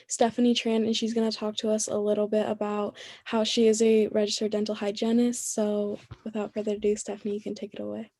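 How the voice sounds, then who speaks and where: A woman's voice: mid-range voice, completely flat delivery, fairly quick, hardly any pauses, warm tone. A woman hosting, giving a short welcome and introducing her guest. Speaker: host. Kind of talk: show introduction.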